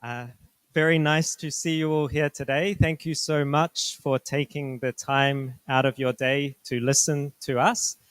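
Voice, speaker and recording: A middle-aged man from New Zealand with a New Zealand accent, a university director giving an informational presentation to students in a polite, measured, explanatory way. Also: mid-range voice, fairly even intonation, fairly bright tone, clean tone, no room sound.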